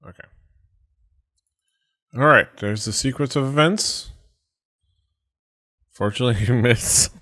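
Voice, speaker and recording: A man running a tabletop game as game master, pausing often to look up rules. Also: medium pitch, a bit bright. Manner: hesitant, lots of ums while looking up rules.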